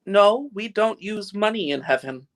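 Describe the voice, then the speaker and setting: A man's voice: high voice, lively intonation, measured pace. A man playing an innocent, centuries-old guardian angel with a quaint, earnest manner in a live radio play reading.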